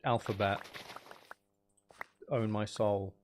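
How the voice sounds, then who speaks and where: A man's voice: on the low side, little pitch movement, slow, darkish tone, slight background noise. A man hosting a livestream, chatting casually in a sarcastic, exasperated ranting manner. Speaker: livestream host.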